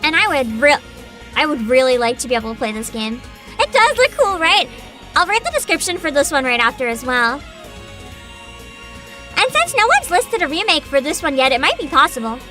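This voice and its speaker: A woman's voice: high voice, big pitch swings, normal speed, bright, forceful tone, faint music behind. A woman hosting a livestream, chatting casually and reading bits aloud in a bubbly, enthusiastic manner. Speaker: virtual streamer host.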